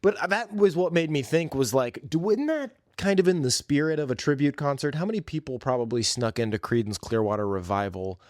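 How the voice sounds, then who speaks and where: A man's voice: a little high, brisk, few pauses, a little mellow, dry recording. Casual male podcast host, very dry sound. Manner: rambling, slangy, conversational. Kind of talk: casual solo podcast monologue.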